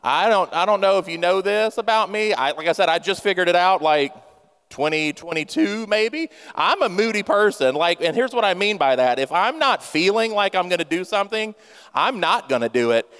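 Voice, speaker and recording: Playful pastor guy in his 30s, clear voice, joking with the church, long echo. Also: sits high, animated, normal speed, a bit bright. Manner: playful, self-mocking, talks straight to the congregation. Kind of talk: humorous sermon. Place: church sanctuary. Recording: long church hall reverb.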